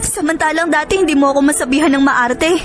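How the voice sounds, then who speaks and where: Actress doing upset pregnant wife, really high voice, hurt and nagging, radio drama w music under. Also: female voice, fast, close to an everyday voice. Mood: hurt, reproachful. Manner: emotional, reproachful. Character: hurt, anxious, heavily pregnant wife quarrelling with husband. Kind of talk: Tagalog radio drama acting.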